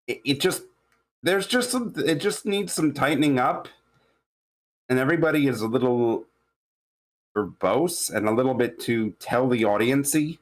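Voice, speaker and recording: A man giving casual critique in a halting, rambling way, often searching for words. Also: medium pitch. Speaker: table read participant giving feedback.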